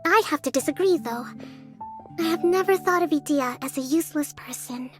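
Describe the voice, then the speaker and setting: A woman's voice: high voice, moderate pace, soft background music, lightly acted. A woman voicing an eager, polite, imaginative little girl in a game cutscene. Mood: polite, sincere. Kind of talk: animated game cutscene acting.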